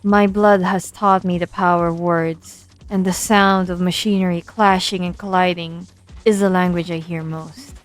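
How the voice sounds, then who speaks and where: Woman playing a low-toned, aloof young banshee engineer. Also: fairly even intonation, normal speed, close to an everyday voice. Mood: detached, calm. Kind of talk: fan-dub game drama acting. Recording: background music under the voice.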